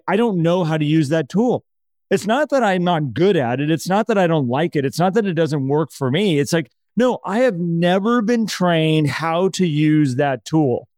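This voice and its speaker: A man co-hosting a podcast in casual conversation, telling things in an animated way and voicing imagined quotes. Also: fairly high pitch, no room sound, studio quality. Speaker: podcast co-host.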